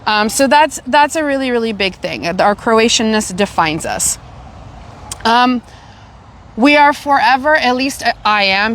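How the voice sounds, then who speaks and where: Canadian woman in lively casual chat. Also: mid-range voice, a little hiss or hum. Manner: animated, repeats herself when searching for words. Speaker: podcast host.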